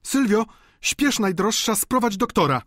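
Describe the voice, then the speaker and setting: A man's voice: a little high, sing-song, moderate pace, no room sound, studio quality, pitched above the performer's own voice. A man voicing a fussy, talkative middle-aged widowed landlady in an audiobook, exclaiming and gossiping. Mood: alarmed, urgent.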